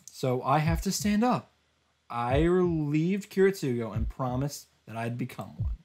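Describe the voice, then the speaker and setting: A man voicing a desperate, determined young hero, narrating a visual novel in an intense, halting, solemn way. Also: on the high side, expressive, darkish tone. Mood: solemn resolve.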